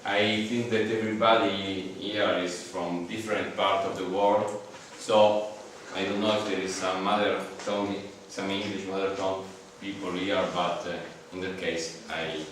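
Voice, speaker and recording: Lecturer, male, Italian accent, low voice, halting delivery, heard from a distance. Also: level tone, fairly bright tone, a little hiss or hum. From Italy. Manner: halting, many fillers and restarts. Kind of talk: guest seminar lecture in English. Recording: echoey hall from far off.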